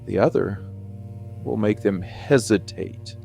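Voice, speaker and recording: Guy doing a brooding villain asmr monologue, super gravelly, deepish voice, intense dramatic pauses, hardened and defiant. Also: dark tone, soft background music. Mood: resolute, grave. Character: brooding dark fantasy villain, hardened, defiant, wounded man. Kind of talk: dark ASMR villain monologue, theatrical and motivational.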